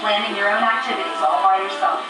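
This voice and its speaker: A woman narrates in a bright, forceful voice at a middling pitch. She is heard as a tape playback through speakers, with faint music behind her. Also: fast talker. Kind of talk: recorded narration played back from tape.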